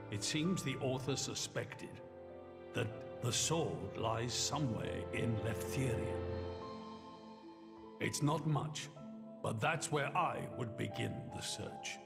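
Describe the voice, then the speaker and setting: A man playing a dignified old king in a video game, speaking in a grave, measured, halting way. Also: mid-range voice, expressive, vocal fry. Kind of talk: video game cutscene acting. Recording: long reverb, music behind.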